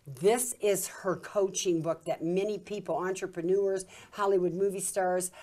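A woman's voice: on the low side, steady tone, normal speed, warm tone. Chatty woman hosting a studio talk show. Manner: chatty, promotional, easygoing. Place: television studio set.

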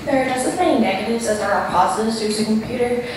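A woman's voice: a little high, racing. A teenage American woman delivers a prepared student talk. The hall echoes strongly around her voice, which is captured from far off, with noticeable noise. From New York State, United States. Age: teens, high school student. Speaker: student presenter. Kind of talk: prepared presentation. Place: large auditorium stage, far camera. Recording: echoey auditorium, distant mic, noisy.

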